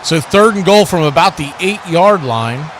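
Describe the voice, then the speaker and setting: A male sportscaster with a notably high voice delivers live football commentary. He calls the action play by play, with faint noise in the background of the recording. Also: lively intonation, normal speed, fairly bright tone.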